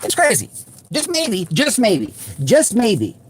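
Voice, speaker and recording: A man hosting an unscripted livestream, talking bluntly and repetitively to his viewers, with halting false starts. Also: sits high, wildly swooping pitch, slight background noise. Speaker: livestream host.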